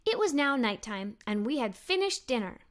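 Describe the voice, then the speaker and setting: A woman narrating a children's story as a read-aloud, with animated, expressive delivery. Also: a little high, normal speed.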